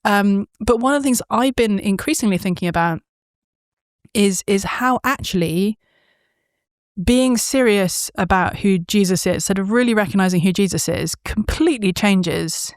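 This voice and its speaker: A woman's voice: medium pitch, moderate pace, no room sound, studio quality. A woman, hosting an interview in casual chat, telling stories with many fillers and frequent laughs. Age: 40s. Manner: chatty storyteller, laughs often, many fillers. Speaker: podcast host.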